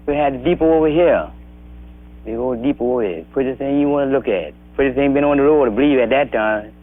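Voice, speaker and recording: A man with a soft South Carolina accent, reminiscing in a plainspoken way in an interview. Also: mid-range voice, quick pace. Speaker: local interviewee. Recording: dull 1960s film soundtrack.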